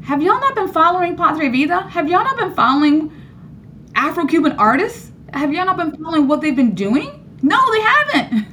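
A Cuban-born woman professor speaking earnestly as a radio interview guest, growing animated when she presses a point. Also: moderate pace, a little hiss or hum. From Cuba. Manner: earnest, animated, rising high when emphatic.

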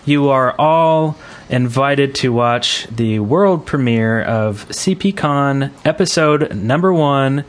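A man hosts a casual podcast at an ordinary middle pitch. He moves through his segments informally, with a halting delivery full of fillers. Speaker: podcast host.

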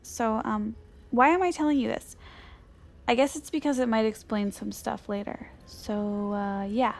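A dreamy, awkward young assistant in a confessional audio drama. Her voice is fairly high with a creaky edge, and she speaks haltingly. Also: steady tone, soft background music. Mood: wistful, awkward. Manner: hesitant, halting.

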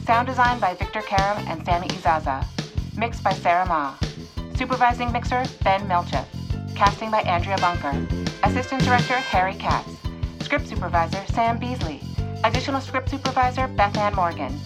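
A woman's voice: medium pitch, expressive, fairly quick. A woman announcer giving a scripted reading of production credits. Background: loud music.

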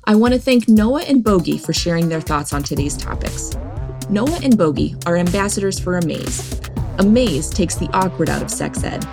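A woman hosting an educational podcast, reading a scripted narration in a gentle, reassuring manner. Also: lowish voice, lively intonation, normal speed. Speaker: podcast host. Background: background music.

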